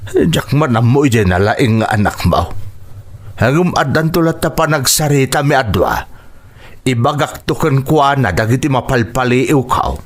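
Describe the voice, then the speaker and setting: A man's voice: mid-range voice, expressive, moderate pace, lightly acted. An actor with an Ilocano accent playing a troubled man of a family in an earnest, emotive radio drama style. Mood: admonishing. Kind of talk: Filipino radio drama acting.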